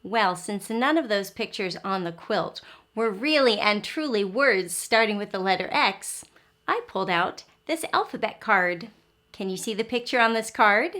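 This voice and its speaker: Female kindergarten phonics teacher and story reader, higher-pitched with lively intonation, simple explanations and lots of questions for little kids. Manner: simple explanations with frequent questions to young children. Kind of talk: children's phonics lesson and read-aloud.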